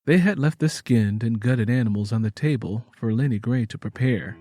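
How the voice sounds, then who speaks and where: A man's voice: mid-range voice, normal speed. A man reading scripted story narration in a solemn, dramatic manner.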